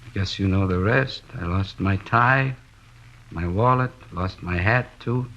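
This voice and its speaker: Low-voiced, henpecked middle-aged American husband. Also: male voice, unhurried, sharp tone, exaggerated voice. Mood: downcast, weary. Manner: rambling, hesitant, plaintive. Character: henpecked middle-aged plasterer, weary, plaintive, indecisive husband. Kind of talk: 1950s radio drama acting. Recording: dull, band-limited old radio recording.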